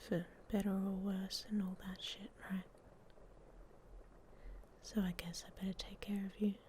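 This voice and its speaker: A woman playing a hot-tempered, protective she-wolf in an intimate ASMR roleplay, with a husky, breathy voice and a blunt, sardonic manner. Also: mid-range voice, flat delivery, unhurried, darkish tone, a little hiss or hum, natural voice. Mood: gruff, reassuring. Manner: sardonic, blunt, speaking straight to the listener.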